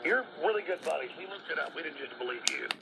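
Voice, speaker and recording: A man's voice: fairly high pitch, expressive, quick pace, gravelly. A man speaking on a radio broadcast, heard through a shortwave receiver. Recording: muffled, very noisy shortwave radio reception.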